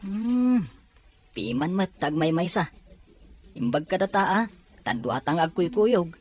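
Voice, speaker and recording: Easygoing young Filipino college buddy, played by a woman; friendly, slightly low female pitch, audio drama. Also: very animated, measured pace. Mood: sympathetic. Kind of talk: amateur Ilocano audio drama acting.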